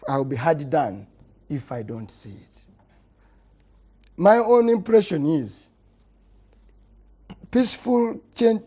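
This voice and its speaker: A middle-aged Ugandan man argues his point on a political talk show, his husky voice swinging widely up and down. He hammers words by repeating them and lets each phrase sink low at its end. The tone is mellow and dark. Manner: emphatic, repeats words, voice drops at phrase ends. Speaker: politician guest. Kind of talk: heated political panel debate.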